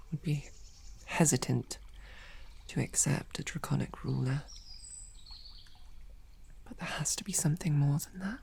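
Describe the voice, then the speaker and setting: A woman voicing a devoted, protective female royal knight in a whispered audio roleplay, with soft, earnest questioning. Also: sits low, vocal fry, a little hiss or hum. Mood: soft, searching.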